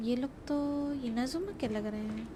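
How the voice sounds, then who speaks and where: A woman's voice: on the high side, racing, noisy background. A woman with an Indian accent chatting casually as she livestreams a game, switching between two languages. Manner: mixes Hindi and English. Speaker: game streamer. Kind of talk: casual livestream chat and gameplay reactions.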